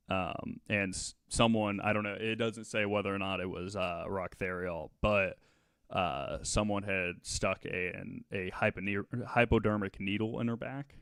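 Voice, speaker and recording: Male podcast host, true-crime storyteller. Very unhurried, halting, clean resonant tone. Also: on the low side, fairly even intonation, a bit bright, smooth, no room sound, clean studio sound.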